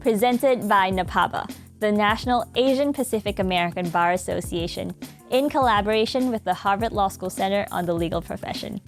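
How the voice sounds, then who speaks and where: A woman's voice: medium pitch, brisk, soft background music. A young woman in her twenties, a law student, reading an upbeat prepared introduction with a few fillers. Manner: upbeat, reads a prepared script with light fillers. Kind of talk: scripted introduction.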